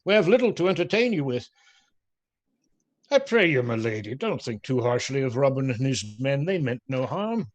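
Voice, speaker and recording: A man's voice: mid-range voice, sing-song, moderate pace, dull audio, natural voice. A man playing a genial, pious, apologetic outlaw in a radio play, speaking in a wry, placating, courtly way. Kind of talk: theatrical radio play acting.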